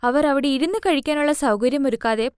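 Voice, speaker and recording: A woman's voice: sits high, brisk. A woman narrating a scripted moral story as the storyteller.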